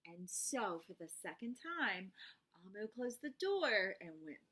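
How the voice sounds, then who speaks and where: A woman reading a children's picture book aloud expressively, with dramatic pauses and drawn-out phrases. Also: medium pitch, sing-song, fairly bright tone.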